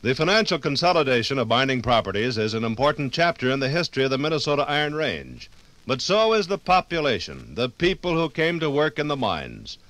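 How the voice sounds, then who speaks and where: A man narrating a scripted 1950s radio documentary in a formal, dramatic, measured way. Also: medium pitch, a bit bright, dry recording.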